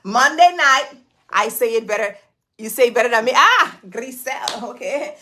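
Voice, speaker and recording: Chatty woman livestream host, bright voice, phone-mic sound. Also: on the high side, expressive, normal speed, slight background noise. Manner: chatty, hypes viewers, repeats words. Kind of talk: casual livestream chat greeting viewers. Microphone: phone camera.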